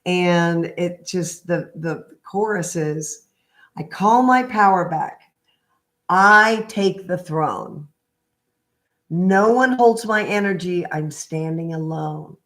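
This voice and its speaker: A middle-aged woman in a gentle read-aloud style with casual, chatty asides and a halting, unhurried manner. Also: on the low side. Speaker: spiritual healer reading a picture book aloud.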